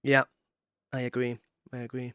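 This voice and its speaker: A man's voice: medium pitch, completely flat delivery, normal speed, fairly bright tone, clear, resonant tone, no room sound, studio quality. A man hosting a casual interview, telling his own experiences and acting out his reactions. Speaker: podcast host.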